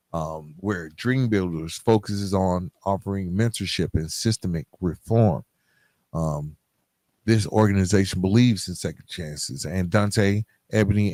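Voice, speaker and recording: Male podcast host, low register, informal conversational delivery, halting and thinking aloud, close dry sound. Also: a little mellow, no echo. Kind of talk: conversational solo podcast talk.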